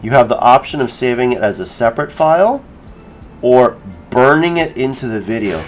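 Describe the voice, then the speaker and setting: A man giving a casual software tutorial, informally thinking aloud as he works through each step. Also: medium pitch, animated, moderate pace, faint music behind. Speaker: tutorial creator.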